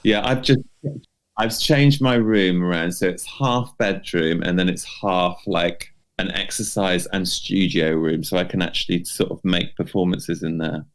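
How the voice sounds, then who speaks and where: Very gravelly, deep-voiced man chatting casually. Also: fairly even intonation, measured pace. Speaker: podcast co-host. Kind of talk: casual podcast chat. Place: remote video chat recording.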